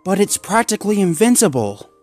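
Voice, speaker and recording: A man's voice: sing-song, a little fast, darkish tone, faint music behind. A man voicing a worried, whiny young mutt pup. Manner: pleading, whiny, higher raised voice. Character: anxious, gentle young male mixed-breed dog.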